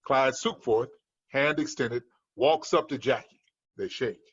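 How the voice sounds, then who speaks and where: A man's voice: medium pitch, measured pace, fairly bright tone, breathy edge, dull audio. A man reading screenplay stage directions as scripted narration at a table read.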